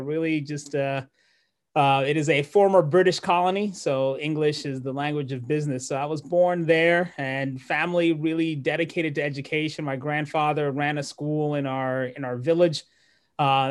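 A man in his forties from Malawi speaks in a fairly high voice for a man. He tells his story in a relaxed, conversational way, restarting sentences as he gathers his thoughts and laughing lightly now and then. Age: 40s. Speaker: neurologist guest. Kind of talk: casual interview storytelling.

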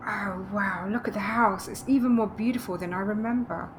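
A woman's voice: mid-range voice, normal speed, natural voice. A woman playing a practical, dryly teasing wife in a naturalistic audio drama. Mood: upbeat, practical. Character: practical, teasing, reassuring wife moving to the countryside. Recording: clearly noisy background ambience.

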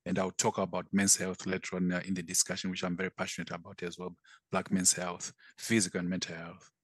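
A man's voice: sits low, completely flat delivery, fast, dry recording. A man in his fifties from Zimbabwe, a guest on an online panel talk, speaking steadily and matter-of-factly. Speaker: guest, mental health nurse.

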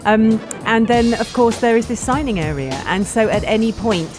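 A woman's voice: a little high, expressive, normal speed, music in the background. A woman hosting a live radio show, bubbly and chatty. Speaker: radio host. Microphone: studio microphone.

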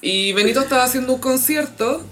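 A woman with a Chilean accent co-hosting a casual pop-culture gossip chat, chatty and full of slang and quick asides. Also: sits high, fairly bright tone, soft background music. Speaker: podcast co-host.

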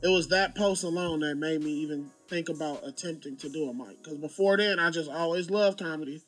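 A man's voice: a little high, a little fast, fairly bright tone, faint music behind. A man, a comedian telling stories in a laid-back, slangy, joking way. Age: late 20s. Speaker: stand-up comedian guest. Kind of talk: casual interview chat.

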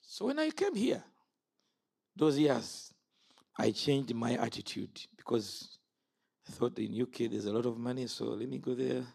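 A man in his fifties, originally from Uganda, preaching a fiery sermon. His voice is gravelly and a little husky. He swoops between low, steady statements and sudden high, forceful outbursts. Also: measured pace. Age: 50s. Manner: impassioned, rises and falls sharply.

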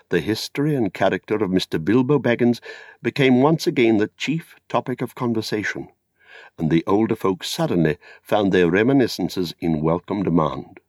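An older British man narrating a literary story in a measured, theatrical way. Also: deep voice, a little mellow, dry recording, studio quality. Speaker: audiobook narrator.

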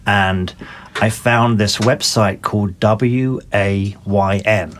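A man's voice: on the low side, fairly even intonation, takes it slowly, a little hiss or hum. A man in his forties from England, with an accent mixing northern and southern English, chatting as a podcast guest with dry, self-deprecating humor. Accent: English, mix of northern and southern. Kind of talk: casual podcast chat.

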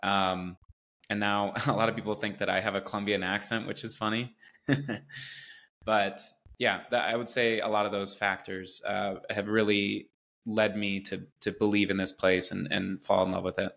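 Man, from the United States, low voice, flat intonation, earnest, enthusiastic, interview guest. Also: moderate pace, vocal fry. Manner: earnest and enthusiastic, with repeated restarts. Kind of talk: conversational interview.

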